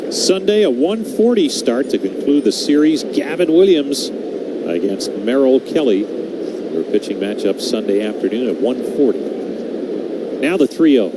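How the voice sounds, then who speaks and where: A man's voice: very animated, measured pace. Male baseball radio announcer over heavy noise. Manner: clipped pitch calls between conversational asides. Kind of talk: live radio sports play-by-play commentary. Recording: very noisy live broadcast, constant background hum.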